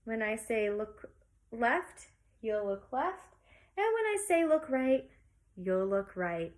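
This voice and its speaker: A woman's voice: fairly high pitch, big pitch swings, slow. A woman teacher reading aloud to young children in an exaggerated, singsong storytelling manner, often putting questions to them.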